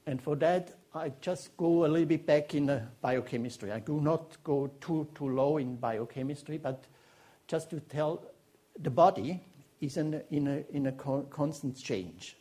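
A man with a Swiss German accent giving a seminar lecture as a biochemist, talking haltingly with many restarts and fillers. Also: a little high, dark tone. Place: lecture room before an audience.

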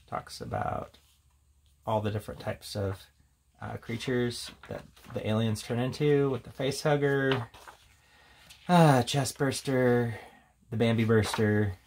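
A man's voice: medium pitch, gravelly, a little mellow, slight background noise. A man giving an informal, offhand show-and-tell commentary as a reviewer, casually talking through what is in front of him. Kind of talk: casual hands-on book showcase commentary.

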